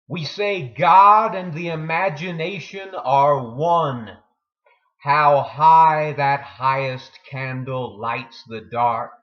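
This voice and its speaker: A man with a middle-pitched voice presents poetry slowly and deliberately. His delivery is measured, and the recording sounds dull and lo-fi. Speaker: host reading poetry. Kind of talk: informal introduction and poetry recitation.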